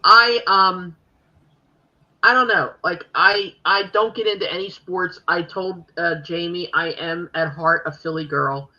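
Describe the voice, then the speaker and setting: A woman's voice: lowish voice, steady tone, measured pace, pushed and bright, dull audio. A woman with a Philadelphia accent hosting a podcast, chatting casually and rambling with many fillers. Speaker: podcast host. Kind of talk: casual chat.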